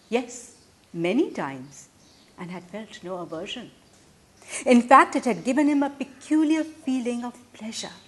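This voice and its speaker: A woman gives a dramatic, expressive literary reading, deliberate in delivery, with emphatic swells and hushed phrase endings. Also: lowish voice. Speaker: reader of a literary short story.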